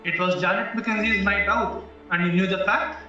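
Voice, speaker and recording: A man acting in an audio play as a stern, skeptical defence lawyer, questioning in a formal, measured way. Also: high voice, steady tone, sharp tone. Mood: probing. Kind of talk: stage-style audio drama acting. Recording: very echoey, muffled, distant, music underneath.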